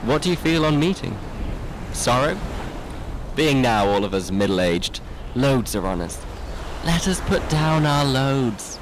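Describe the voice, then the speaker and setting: A man's voice: medium pitch, sing-song, unhurried, long pauses, noisy background. An actor playing an intense, slightly drunk middle-aged man in a literary radio drama, probing and rhetorical. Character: tipsy, intense middle-aged man, needling old friends.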